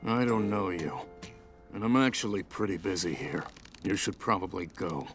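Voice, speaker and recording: An actor playing an aloof adult bird warrior in a video game, gruff, curt and dismissive. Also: male voice, low voice, normal speed, faint music behind. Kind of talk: video game cutscene acting.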